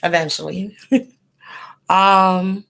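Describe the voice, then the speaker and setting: Gravelly, fading-voiced woman streamer. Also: medium pitch, fairly even intonation, very slow, fairly bright tone, low-quality recording. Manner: rambling and informal, heavy with ums and likes. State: losing her voice. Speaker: host of a tarot channel, chatting with live viewers. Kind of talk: casual livestream chat.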